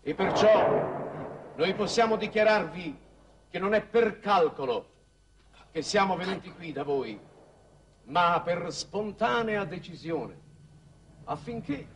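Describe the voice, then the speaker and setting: A man's voice: high voice, lively intonation, slow, long pauses, exaggerated voice. An actor playing a pompous middle-aged statesman giving grand, solemn oratory in an old 1960s TV drama. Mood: grandiose. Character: pompous male statesman making a solemn official speech. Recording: distant, noisy old 1960s TV soundtrack.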